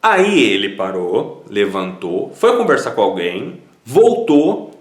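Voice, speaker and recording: Lively Brazilian man in a roomy space. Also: on the low side, takes it slowly. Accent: Brazilian Portuguese. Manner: animated, conversational, repeats words as he restarts. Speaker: Italian citizenship consultant. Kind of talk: casual advice vlog talking to viewers.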